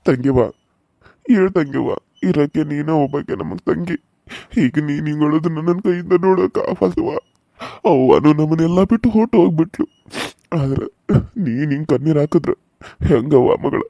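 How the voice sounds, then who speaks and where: A man plays an older brother who gently coaxes his crying sister, acted by a man. His voice is gravelly and mellow and sits a little high for a man. The recording is dull and lo-fi. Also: normal speed, long pauses, dry recording. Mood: pleading. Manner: pleading, gentle.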